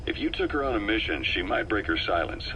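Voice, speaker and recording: A man playing a cool, calculating veteran mercenary intelligence officer in a video game, speaking in a measured, wry, persuasive way. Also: lowish voice, sing-song, bright, forceful tone. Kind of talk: video game voice acting, briefing dialogue. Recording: noisy radio-like cassette tape recording.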